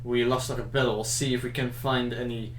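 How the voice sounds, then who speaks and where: A man's voice: mid-range voice, little pitch movement, moderate pace. A man playing a tabletop roleplaying game, bantering casually in character, in an echoing room. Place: indoor room, group game livestream. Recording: echoey room with clear background hum.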